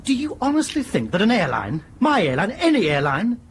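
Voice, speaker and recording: An indignant British airline skipper in a radio play, blunt and stubborn. His voice sits high and swings widely with exasperation. Faint noise lies behind it. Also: wildly swooping pitch, a little fast, full character voice. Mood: exasperated. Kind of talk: radio drama acting.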